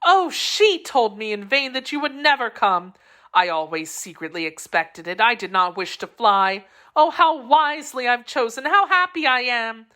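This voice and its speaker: Woman putting on a high, frantic dying young lady. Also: lively intonation, normal speed, sharp tone. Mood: overjoyed, urgent.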